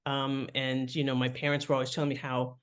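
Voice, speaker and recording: A middle-aged American woman speaking as an interview guest, conversational and reflective, often pausing to rephrase as she explains. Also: a bass voice, little pitch movement. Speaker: podcast guest, author and editor.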